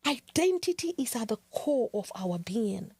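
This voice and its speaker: A woman hosting a podcast, giving an earnest, measured and rhetorical monologue. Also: on the high side, very animated, darkish tone, husky, no echo. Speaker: podcast host.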